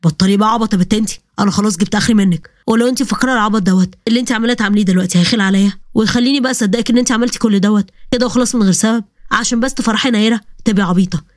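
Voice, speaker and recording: امرأة تؤدي عريسًا شابًا غاضبًا بلهجة مصرية. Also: female voice, medium pitch, rapid-fire, dry recording, studio quality, lightly acted. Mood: angry scolding. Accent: Egyptian. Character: angry young groom, harsh and scolding.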